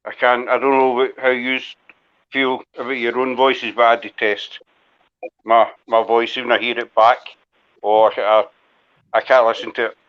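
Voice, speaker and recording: A man's voice: medium pitch, little pitch movement, normal speed, smooth. A Scottish man hosting a casual live chat, rambling and self-deprecating as he talks. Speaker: host. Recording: dull lo-fi audio.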